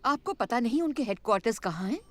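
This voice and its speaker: Woman acting a middle-aged cartoon mother, gentle, concerned, high pitch, expressive intonation, faint music. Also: fast, no echo, natural voice. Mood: worried. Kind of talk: Hindi cartoon dub acting.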